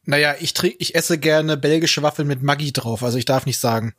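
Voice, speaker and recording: A man with a slightly raised pitch joins a podcast as a guest. He talks in an informal, conversational style. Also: a little fast, a bit bright. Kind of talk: casual chat.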